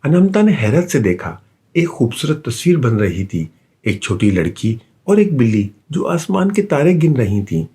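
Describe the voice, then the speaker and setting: A man narrating a scripted children's bedtime story with an expressive storytelling delivery. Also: mid-range voice, measured pace, husky.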